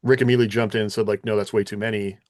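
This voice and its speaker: Male podcast host, lowish register, conversational questioner, dry recording. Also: little pitch movement, rapid-fire, no room sound. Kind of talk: casual discussion and interviewing.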